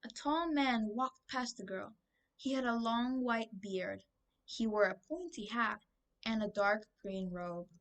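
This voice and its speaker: A woman's voice: a little high, slow pace, recorded from a distance. A twelve-year-old girl reading a children's storybook aloud as scripted narration. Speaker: book reader.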